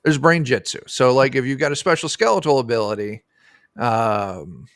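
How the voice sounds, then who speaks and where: A man's voice: medium pitch, moderate pace, a bit bright, dry recording, clean studio sound. A middle-aged man talking casually as a guest game designer in an interview over a video call. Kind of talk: casual interview chat about a tabletop game. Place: indoor room on a video call.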